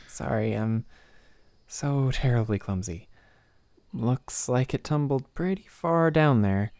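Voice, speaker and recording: Man acting a sly vampire, swooping pitch. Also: mellow, no room sound. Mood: coy, evasive. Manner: halting, coy, mocking. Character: sly vampire posing as a meek, awkward male hiker. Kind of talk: cinematic audio roleplay acting.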